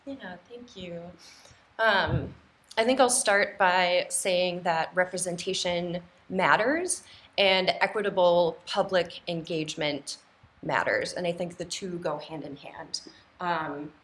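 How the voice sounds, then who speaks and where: A woman giving a candidate's answer at a forum, haltingly and repeating words as she goes. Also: lowish voice, steady tone, a bit bright. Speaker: city council candidate. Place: indoor TV studio.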